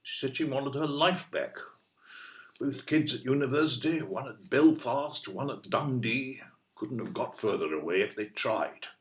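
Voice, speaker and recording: An actor playing a blunt, stubborn older British husband in an audio play, speaking in a dry, matter-of-fact way. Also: male voice, mid-range voice, animated, unhurried. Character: blunt, grumpy older husband wanting his freedom.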